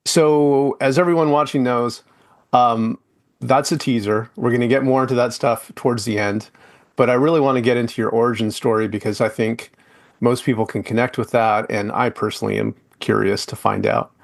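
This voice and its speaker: A man's voice: medium pitch, fairly even intonation, normal speed, gravelly. A man hosting a podcast interview, talking loosely with lots of fillers and restarts. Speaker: podcast host. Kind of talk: casual interview chat.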